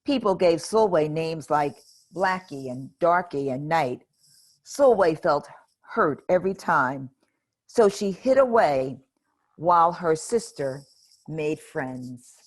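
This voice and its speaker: Woman reading a picture book to kids, gentle and expressive; low voice, very slow. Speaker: storybook reader. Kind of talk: children's picture book read-aloud.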